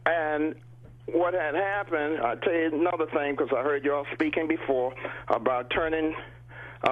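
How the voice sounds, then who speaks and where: An older man from the United States, a guest on a radio phone interview, telling his story in a halting way with many repeated words. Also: a little high. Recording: tinny phone line.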